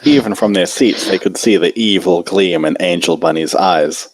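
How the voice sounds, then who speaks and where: Man, story narrator, deep voice, dry close recording. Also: normal speed, no echo, rough recording. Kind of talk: narrated fan fiction reading.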